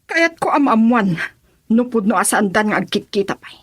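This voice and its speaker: A woman's voice: medium pitch, animated, moderate pace, breathy edge, no room sound, studio quality. A woman playing a stern, suspicious, domineering middle-aged mother in an Ilocano radio drama, with an insistent, scolding manner. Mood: firm, brooding.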